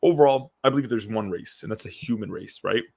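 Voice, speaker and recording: A man who grew up in Syria, co-hosting a podcast and talking quickly in a loose, conversational way with frequent restarts and fillers. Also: fairly low pitch, vocal fry, husky. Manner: fast, animated, lots of restarts and fillers. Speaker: podcast co-host. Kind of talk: casual conversational podcast chat.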